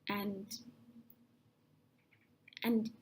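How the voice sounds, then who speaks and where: Soft-spoken young South African woman. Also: medium pitch, flat delivery, very slow, a little hiss or hum. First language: Afrikaans. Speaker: interviewee. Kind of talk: casual interview answers.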